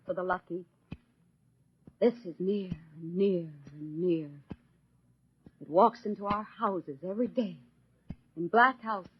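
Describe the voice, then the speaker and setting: An actress playing a resolute American housewife and mother, delivering a solemn, measured 1940s radio monologue with an American accent. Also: medium pitch, a little hiss or hum, close to an everyday voice. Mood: defiant, accusing. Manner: solemn, measured, declamatory oratory. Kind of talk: 1940s radio acting, dramatic poetic monologue. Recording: muffled old radio broadcast, faint music.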